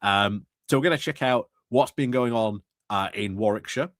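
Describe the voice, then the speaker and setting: A man's voice: lowish voice, normal speed, fairly bright tone, no echo, studio quality. A man giving a casual, rambling livestream monologue about local politics, full of fillers, restarts and little chuckles. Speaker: political commentary streamer.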